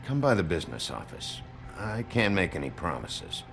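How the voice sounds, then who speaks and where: An actor playing a gruff, weary sheriff detective in a noir video game, with a gravelly voice and a terse, blunt manner. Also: male voice, big pitch swings, normal speed, fairly bright tone, soft background music, full character voice. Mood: terse, matter-of-fact. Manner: terse, blunt, low-key. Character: gruff, weary adult male fairy-tale sheriff detective.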